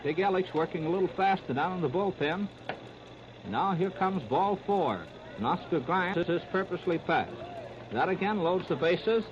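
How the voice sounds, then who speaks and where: Male sports announcer, higher voice, old-time radio baseball call, short clipped phrases, scratchy noisy recording. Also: normal speed, muffled recording. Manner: clipped present-tense narration in short phrases. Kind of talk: 1930s live radio baseball commentary.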